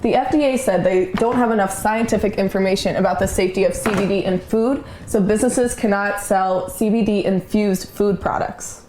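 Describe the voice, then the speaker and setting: A woman's voice: mid-range voice, moderate pace, few pauses, darkish tone. Young woman reporting news, faint background noise. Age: young adult. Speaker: student TV reporter. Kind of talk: scripted news report narration.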